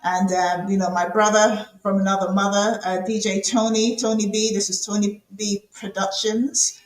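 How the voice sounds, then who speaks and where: A woman's voice: medium pitch, normal speed. Chatty woman hosting, roomy sound. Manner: chatty, many ums and repeated phrases. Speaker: show host. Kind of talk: casual live show talk. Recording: roomy echo.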